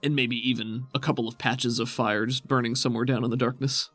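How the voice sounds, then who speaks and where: A man's voice: mid-range voice, level tone, quick pace, a bit bright, no room sound, soft background music. A man working as game master, casually improvising descriptions for a tabletop game in a conversational way.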